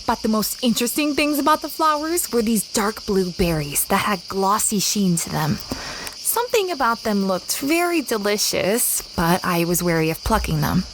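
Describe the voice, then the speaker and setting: A woman's voice: medium pitch, very animated, normal speed, background noise, close to an everyday voice. Actress as a young woman explorer narrating over chirping insects. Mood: wry, reflective. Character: adventurous young woman explorer recounting a strange expedition, fretful over her dog. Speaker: narrator and lead character. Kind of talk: first-person audio drama narration with acted dialogue. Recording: clear background ambience of jungle insects.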